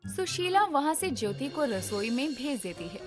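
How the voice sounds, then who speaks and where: A woman narrates a scripted bedtime tale. Her voice is fairly high and very animated in pitch, over clearly audible background music. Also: moderate pace.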